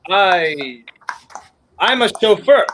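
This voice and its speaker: A man's voice: high-pitched, lively intonation, drawn-out words, full character voice. A noisy recording of a polite, guarded young fugitive in a vintage radio play, acted by a man. His intonation moves widely as he speaks. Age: young adult. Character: polite, guarded male fugitive passing as a jobseeker. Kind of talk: 1930s-style radio drama acting. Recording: noisy home recording with clicks.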